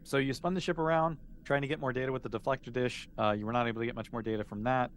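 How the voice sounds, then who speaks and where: A man acting as game master, improvising narration in a halting way as he thinks aloud. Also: medium pitch, steady tone, slight background noise.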